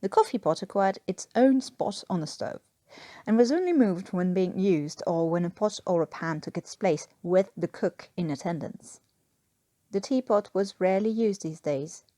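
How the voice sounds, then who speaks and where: A woman reading a scripted story aloud as an expressive narrator. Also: mid-range voice, normal speed, dry recording. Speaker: fan fiction narrator.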